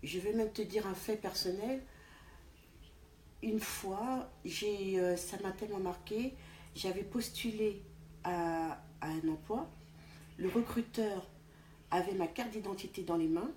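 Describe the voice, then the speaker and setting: A woman from France, a guest in an interview, speaking deliberately with frequent restarts. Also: medium pitch, warm tone. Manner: deliberate, halting with many restarts. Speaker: interview guest, party official. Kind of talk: conversational interview. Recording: echoey room with faint background noise.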